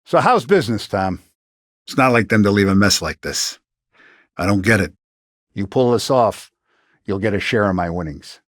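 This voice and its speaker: A commanding Italian-American mafia boss from a 1930s gangster video game. His middle-aged male voice moves widely up and down in pitch, recorded dry and close. He talks in blunt street slang, with authority and a vengeful edge. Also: lively intonation, normal speed, pauses often, no echo. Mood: measured.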